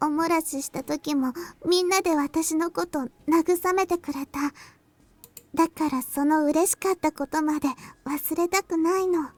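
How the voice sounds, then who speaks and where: A woman voicing a gentle, earnest little girl in an anime-style visual novel game. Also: very high-pitched, fairly even intonation, a little fast, no room sound. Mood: earnest, grateful.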